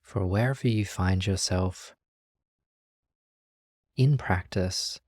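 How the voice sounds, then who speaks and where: A meditation teacher leading a guided practice in a gentle, calm, measured way with long pauses. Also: low-pitched, no room sound, studio quality.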